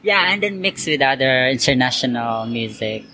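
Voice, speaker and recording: A man's voice: mid-range voice, lively intonation, moderate pace, bright, forceful tone. A man from Cebu in the Philippines, answering interview questions in a chatty, loosely phrased way full of "like" and "you know". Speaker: interviewee, accountant and Zumba instructor. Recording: noisy background hiss.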